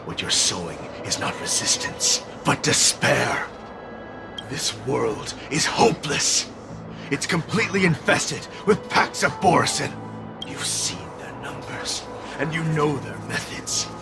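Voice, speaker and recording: An actor playing a sinister, mocking spirit companion in a video game, gravelly, theatrical and menacing, with a taste for blood. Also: male voice, medium pitch, lively intonation, a little slow, music in the background. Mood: bitter scorn. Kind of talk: dramatic video game voice acting.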